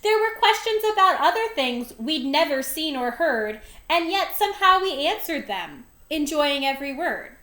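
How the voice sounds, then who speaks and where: A woman's voice: sits high, very animated, moderate pace, few pauses, pushed and bright, roomy sound. A woman reading a children's storybook aloud expressively, with dramatic, emphatic phrasing. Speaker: storybook reader.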